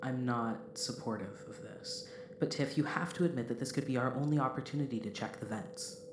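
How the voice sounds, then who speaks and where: A man playing an earnest, cautious, mild-mannered spaceship crewman in a sci-fi audio drama, speaking in a measured, formal way. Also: medium pitch, lightly acted. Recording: noisy, echoey room.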